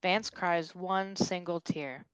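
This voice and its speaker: A woman's voice: lowish voice, steady tone, slow, fairly bright tone, smooth, no echo, low-quality recording. A woman narrating action and stage directions from a script at a virtual table read.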